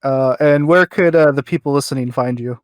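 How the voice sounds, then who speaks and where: A man's voice: medium pitch, a little fast, dry recording, clean studio sound. A man hosting a podcast in casual chat, earnest and appreciative, with a slightly hesitant delivery. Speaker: podcast host.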